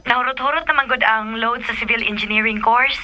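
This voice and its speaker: Bright, high-voiced Cebuano daughter. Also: female voice, fairly even intonation, brisk. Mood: eager. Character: eager, stubborn young daughter choosing college course. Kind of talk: Philippine radio drama acting.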